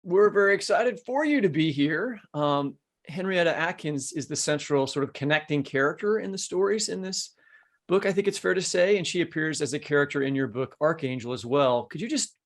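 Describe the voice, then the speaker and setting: Gravelly, higher-pitched man hosting a podcast. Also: lively intonation, brisk. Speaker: podcast host. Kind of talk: conversational podcast hosting and interviewing.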